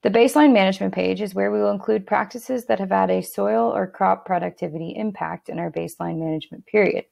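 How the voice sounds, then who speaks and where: A woman with a heavily gravelly, fry-laden voice walks through a software tool step by step. Her delivery is steady and instructional, at a middle pitch. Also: fast talker, few pauses. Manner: steady instructional tone with occasional fillers and restarts. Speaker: software tutorial narrator. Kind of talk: screen-recorded software walkthrough.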